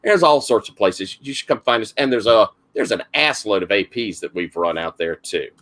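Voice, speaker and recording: Man, Southern accent, gravelly voice, middle-aged, rambling game master, online call. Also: fairly low pitch, animated, moderate pace, a bit bright. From South Carolina, US. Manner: rambling, lots of fillers and restarts. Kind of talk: casual introduction of a game.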